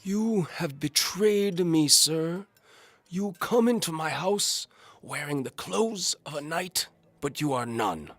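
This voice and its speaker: Pompous old duke, audio drama, blustering, indignant, pitched up, husky. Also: male voice, animated, slow, fairly bright tone, dry recording, exaggerated voice. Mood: wounded, indignant. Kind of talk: audio drama character acting.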